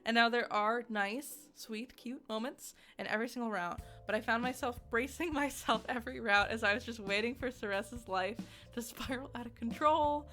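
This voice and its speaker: A woman's voice: on the high side, moderate pace, hardly any pauses, pushed and bright, soft background music. A woman giving a casual, conversational video game review in an emphatic, informal way, often giggly as she talks.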